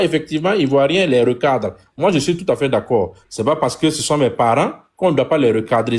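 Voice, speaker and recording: A man's voice: a little high, a little mellow, breathy edge. Hesitant man with many fillers. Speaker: guest speaker on a live stream. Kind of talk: political discussion.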